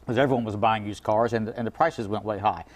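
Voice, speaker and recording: A man's voice: lowish voice, fairly even intonation, fast. A man who owns an import car shop, giving folksy, plain-spoken advice as a guest in a TV interview, with frequent restarts.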